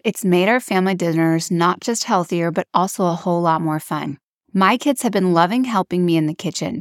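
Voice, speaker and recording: A woman reads scripted promotional copy as the host of a podcast. Her pitch is a little on the low side. The recording is dry, with hardly any room sound. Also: no echo. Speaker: podcast host reading a sponsor ad.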